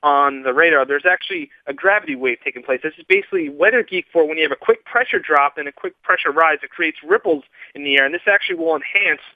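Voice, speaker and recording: A man delivering radio weather forecasts as a meteorologist, with brisk, upbeat forecaster patter. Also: on the high side, no room sound, narrow, tinny audio.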